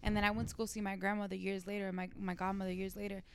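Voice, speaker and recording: A woman's voice: medium pitch, little pitch movement, rapid-fire, creaky, a little hiss or hum. A woman from New York talking earnestly in a casual panel chat, explaining her points and filling her speech with "like". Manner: earnest, explanatory, uses lots of "like".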